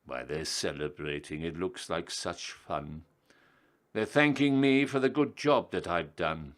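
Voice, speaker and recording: A man performs an excitable skeleton from a storybook, a misguided holiday spirit who declaims everything theatrically. His delivery is slow and exclamatory, rising above a low-pitched base. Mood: delighted.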